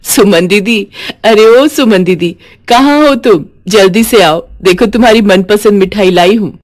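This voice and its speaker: A woman's voice: mid-range voice, a little slow, close to an everyday voice. A woman voicing a humble, grateful working mother, earnest and effusive, in a storybook tale. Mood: excited, joyful. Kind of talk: storybook character voice.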